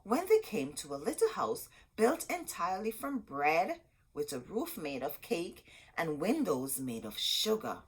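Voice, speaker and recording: A woman reading a children's story aloud as host, expressive and dramatic, drawing out words for emphasis. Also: lowish voice, unhurried, slightly rough.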